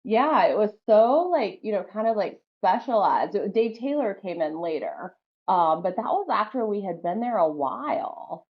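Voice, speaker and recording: A woman's voice: mid-range voice, big pitch swings, a little slow, highs cut off. A woman with a slight Southern accent, telling stories as a podcast guest in a chatty way, full of "like" and "um". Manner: chatty, lots of "like" and "um". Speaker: podcast guest, former wrestler. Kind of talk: casual interview storytelling.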